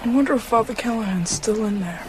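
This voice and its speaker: A woman's voice: on the high side, quick pace, lightly acted. A woman performs a young boy in a horror radio drama, numb with grief. The voice is subdued and solemn. Noticeable rain-like noise runs behind it. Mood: numb, grieving. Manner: subdued, grave. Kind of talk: audio drama acting.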